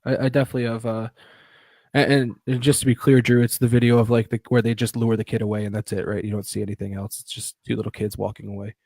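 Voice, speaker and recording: A young man co-hosting a podcast live stream in casual chat, excitable, swearing freely and restarting his sentences. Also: lowish voice, fast talker, dry recording. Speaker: podcast co-host.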